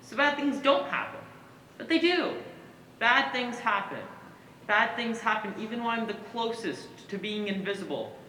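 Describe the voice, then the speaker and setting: A woman's voice: on the high side, animated, moderate pace, sharp tone. Actress as a swooping, fearful young woman. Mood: anxious, guilty. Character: fearful, guilt-ridden young woman who feels jinxed. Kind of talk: theatrical stage monologue. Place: stage. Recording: echoey, distant, faint noise.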